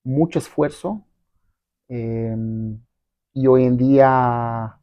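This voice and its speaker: A man's voice: medium pitch, fairly even intonation, very slow, no room sound, lo-fi sound. A middle-aged man whose first language is not Spanish, telling his story in a casual interview, rambling with many fillers and tag questions. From abroad, not Mexico. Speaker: guest entrepreneur.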